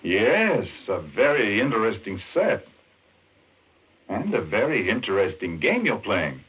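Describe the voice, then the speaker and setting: An educated gentleman doctor with a low, husky voice. He is courteous, curious and measured, with varied intonation. It sounds like a muffled old 1940s radio drama. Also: very animated, exaggerated voice. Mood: interested. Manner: polite, reserved, measured. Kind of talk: 1940s radio mystery acting. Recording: muffled, band-limited 1940s radio recording.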